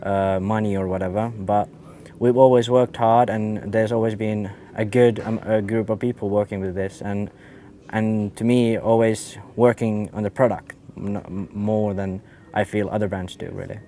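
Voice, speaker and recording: Teen finnish racer guy chatting fast with uhs, kinda low voice, bit of noise behind. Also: flat delivery, mellow. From Finland. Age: young adult. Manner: quick, with many fillers and restarts. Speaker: RC car racer. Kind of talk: casual interview.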